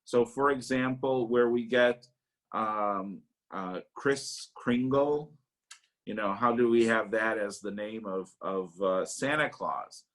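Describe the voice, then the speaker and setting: Slow, halting man giving a lecture. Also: on the low side, fairly bright tone. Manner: halting, many "uh" fillers and restarts. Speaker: parish webinar presenter. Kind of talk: unscripted lecture. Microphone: webinar call mic.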